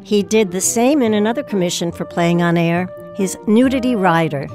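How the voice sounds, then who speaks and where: A woman's voice: mid-range voice, lively intonation, moderate pace, darkish tone. A woman hosting an audio drama series, reading a scripted introduction. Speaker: host introducing a radio play. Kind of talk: scripted narration. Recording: music behind the voice.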